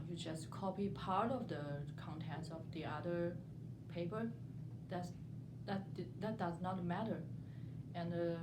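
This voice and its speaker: Young female student, Chinese accent, non-native and halting, lowish voice, informal interview in a noisy room. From southern China. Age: young adult. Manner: halting, repeats words while searching for phrasing. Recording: echoey room, noticeable background noise.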